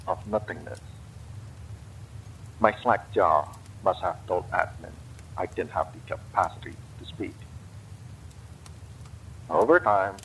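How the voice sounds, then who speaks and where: A man reading a horror story aloud, in a slow and expressive way. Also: sits low, slight background noise, low-quality recording. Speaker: story reader.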